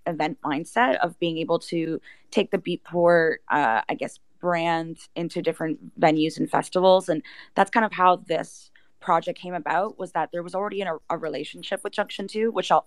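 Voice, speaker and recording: Low-pitched woman in a dry recording. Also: no room sound. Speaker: interview guest, project team member. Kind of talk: casual explanatory chat.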